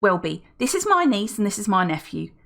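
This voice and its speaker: A woman reading aloud voices an effusive, chatty woman who talks without pause and exclaims often. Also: very animated, creaky, a bit bright. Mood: gushing. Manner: effusive, exclaiming, high-spirited. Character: gushing chatty adult woman, talks nonstop.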